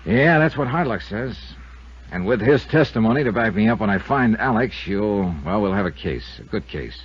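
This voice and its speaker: Lively, hard-boiled radio investigator, dull sound. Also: male voice, lowish voice, moderate pace, slightly rough. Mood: urgent. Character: brisk, dogged male insurance investigator. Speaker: radio actor, lead and narrator. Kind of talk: 1950s radio detective drama, acting and first-person narration. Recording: dull vintage radio recording.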